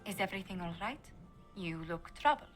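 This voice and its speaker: A woman playing a courteous, caring noblewoman in a fantasy video game, polite, gentle and slightly hesitant. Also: medium pitch, animated, a little fast, soft background music, close to an everyday voice. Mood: concerned. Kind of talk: dramatic game dialogue.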